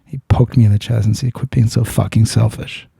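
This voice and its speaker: A man with a low, gravelly, very dark voice, hosting a podcast and telling a personal story in an earnest, searching way. He restarts his sentences often and throws in blunt swearing. His tone is husky and the sound is close and dry. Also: steady tone, a little fast, dry recording. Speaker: podcast host. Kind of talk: candid storytelling in conversation.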